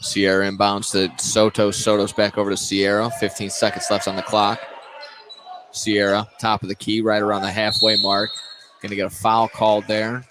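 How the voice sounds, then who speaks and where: A man's voice: on the low side, monotone, normal speed. An American man doing live play-by-play commentary of a basketball game. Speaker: play-by-play announcer. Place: indoor basketball gym, broadcast commentary. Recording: noisy live gym ambience.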